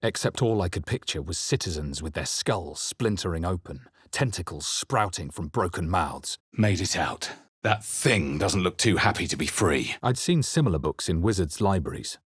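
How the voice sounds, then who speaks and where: A deep-voiced, grave male adventurer from a fantasy game. His husky tone carries measured, intense dramatic lines with a brooding seriousness. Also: animated, dry recording, clean studio sound. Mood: terse, wary.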